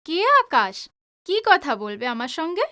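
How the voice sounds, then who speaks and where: Woman playing a bright, high-voiced, guarded young woman. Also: sing-song, moderate pace, no room sound, studio quality, exaggerated voice. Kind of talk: audio drama acting.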